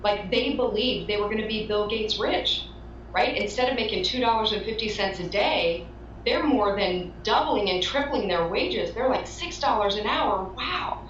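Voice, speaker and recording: A woman's voice: medium pitch, normal speed. A woman giving earnest, emphatic testimony as an interview guest. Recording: echoey, distant, dull, faintly noisy.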